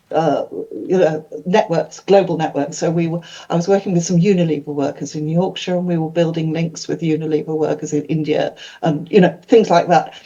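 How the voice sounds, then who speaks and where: Older woman, Welsh first language, mellow panel speaker, reflective, dull conference audio. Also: fairly low pitch. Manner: thoughtful, many fillers and restarts.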